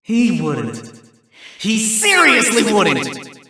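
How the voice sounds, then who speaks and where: A woman's voice: a little high, wildly swooping pitch, extremely slow, pushed and bright, husky, no echo, studio quality, exaggerated voice. A woman voicing a sly, sarcastic male fox defense lawyer arguing in court.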